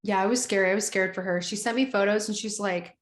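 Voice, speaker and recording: A woman's voice: mid-range voice, fairly even intonation. Chatty young woman in an echoey room. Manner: chatty, slangy, quick to laugh. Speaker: podcast co-host. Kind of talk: casual chat.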